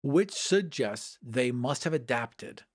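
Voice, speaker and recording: A man's voice: mid-range voice, animated, slow. A man chatting casually and emphatically about tabletop game lore as a podcast panelist.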